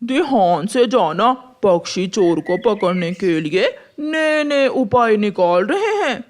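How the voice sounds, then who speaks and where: A woman's voice: mid-range voice, very animated, slow pace. Woman playing a curt, crooked old father crow. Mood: explaining. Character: gruff, crooked father crow, ageing thief. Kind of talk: children's cartoon story acting.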